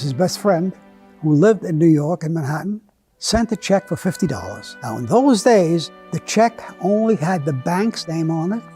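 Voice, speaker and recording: A man's voice: high-pitched, mellow. An older man, born in Hungary with Hungarian as his first language, telling his story in a recorded interview. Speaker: survivor interviewee.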